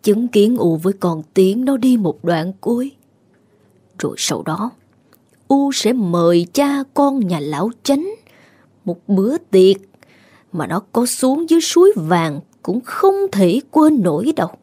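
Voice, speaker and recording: A woman's voice: medium pitch, animated, measured pace, dark tone, husky. A woman voicing a grieving, vengeful old village mother in a horror story, cold and bitter. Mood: cold menace.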